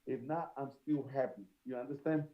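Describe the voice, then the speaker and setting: A man's voice: medium pitch, steady tone, brisk, highs cut off. A man from Puerto Rico with a strong Puerto Rican Spanish accent, a boxer talking earnestly in an interview and often checking "you understand?". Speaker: boxer guest. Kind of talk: casual interview chat.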